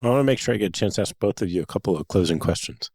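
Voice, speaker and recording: A man hosting a podcast, asking closing questions in a brisk, quick way. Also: lowish voice, animated, darkish tone, slightly rough, dry recording, clean studio sound. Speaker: podcast host. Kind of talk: interview questions.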